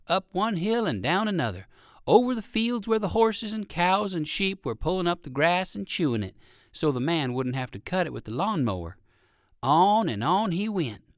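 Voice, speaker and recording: A man narrating a children's storybook in an expressive way, with chatty asides to young listeners. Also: fairly high pitch, no room sound, clean studio sound. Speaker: audiobook narrator.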